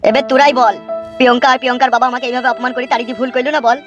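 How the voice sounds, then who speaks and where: A man's voice: extremely high pitch, flat delivery, rapid-fire, dull audio. A man voicing a jilted young village rogue in a cartoon, whiny and coarse. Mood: self-pitying. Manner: complaining, coarse. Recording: faint music behind the voice.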